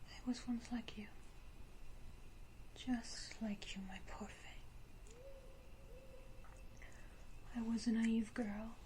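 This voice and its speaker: A woman playing a sinister, wounded yet condescending female vampire in a whispered ASMR roleplay, speaking deliberately with theatrical pauses. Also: fairly high pitch, darkish tone, slight background noise, poor audio. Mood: mocking pity. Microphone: close ASMR microphone.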